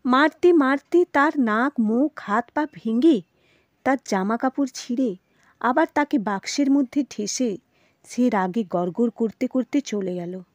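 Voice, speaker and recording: A woman narrates a children's tale as an expressive storyteller. Her fairly high voice swings widely for emphasis, and she takes her time over the phrases in a dramatic way. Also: unhurried, no echo.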